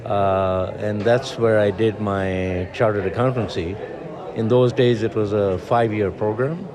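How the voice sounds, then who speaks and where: A man's voice: fairly low pitch, fairly even intonation, measured pace. A man in his seventies from Tanzania, an accountant, telling chatty, self-mocking anecdotes. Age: 70s. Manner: chatty, jokey, laughs at himself. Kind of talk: casual anecdotes. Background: crowd chatter.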